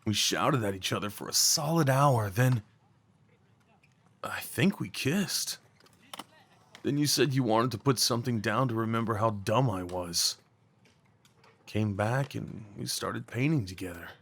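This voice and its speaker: A man playing a remorseful young former delinquent tenderly confessing old love in an intimate roleplay audio drama, speaking haltingly and earnestly. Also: lowish voice, gravelly, fairly bright tone, husky, heavily acted. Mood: regretful, hushed. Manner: halting, confiding, emotionally earnest.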